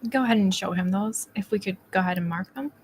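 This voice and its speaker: Lady reading as a lawyer in a deposition, polite, procedural, normal pitch, bit of background noise. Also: quick pace, close to an everyday voice. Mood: polite, firm. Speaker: witness's counsel. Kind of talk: read-aloud deposition transcript.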